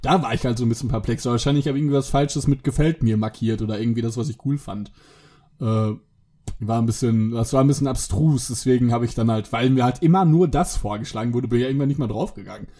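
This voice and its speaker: A man's voice: mid-range voice, fast talker, warm tone. A man in casual conversation, telling stories in a rambling way, breaking off and restarting his sentences. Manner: rambling, self-interrupting, laughs at own stories. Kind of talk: casual chat.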